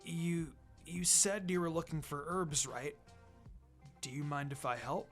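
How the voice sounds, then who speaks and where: A man's voice: a little high, unhurried, a bit bright, soft background music. A man voicing a hot-headed, worried teenage ninja boy in a story reading, with informal, earnest delivery. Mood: troubled, hesitant.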